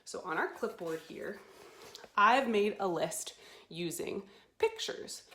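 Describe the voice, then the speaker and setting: Bright-voiced woman hosting children's storytime, echoey room. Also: medium pitch, slow, slight background noise. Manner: animated, addressing young children with simple questions and encouragement. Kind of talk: children's storytime presenting to camera. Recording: roomy, echoey sound.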